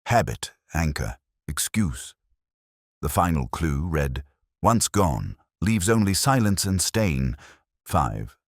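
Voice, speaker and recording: A man playing a melancholy detective inspector who narrates his case in solemn, reflective, measured phrases, in the style of a literary audio mystery. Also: very deep voice, very animated, dry recording, clean studio sound, exaggerated voice. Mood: weary, wry. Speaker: first-person narrator.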